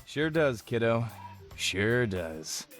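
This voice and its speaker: A laid-back man acted by a man reading a visual novel, middling in pitch. He is gentle and weary, resigned to everything and low-key about it. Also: sing-song, slow pace, faint music behind.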